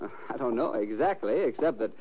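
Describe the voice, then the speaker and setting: Man playing harried film-star buddy, glib, comic, lively pitch, old radio drama, dull noisy transcription. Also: natural voice. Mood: jaunty, wry. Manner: glib, rapid comic banter. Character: wisecracking, harried Hollywood film actor, jaunty friend. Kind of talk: 1950 American radio mystery acting.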